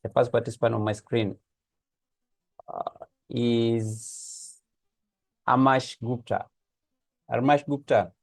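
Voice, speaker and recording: A man's voice: mid-range voice, fairly even intonation, slow, creaky, pauses often, dry recording, clean studio sound. Man hosting a video call. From Kenya. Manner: friendly, hesitant with many uh fillers. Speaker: host of online office hours. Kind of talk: casual online meeting hosting. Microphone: computer mic on a video call.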